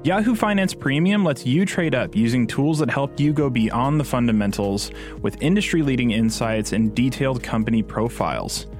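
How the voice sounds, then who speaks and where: Ad read, man, mid pitch, polished and upbeat, soft guitar behind. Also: expressive, normal speed. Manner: polished, upbeat sales delivery. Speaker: ad announcer. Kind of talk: scripted ad read.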